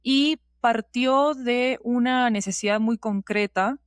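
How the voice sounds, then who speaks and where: A woman's voice: on the high side, takes it slowly, clear, resonant tone, no echo. A woman talking as an interview guest, explaining in a conversational storytelling way and checking that the listener follows. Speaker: podcast producer, interview guest.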